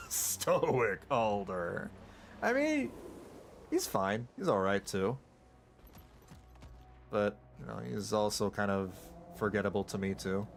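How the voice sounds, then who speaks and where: A man streaming a game, rambling casually with excited outbursts and stammering restarts. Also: on the low side, takes it slowly, vocal fry, long pauses, slight background noise. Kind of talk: casual live gameplay commentary.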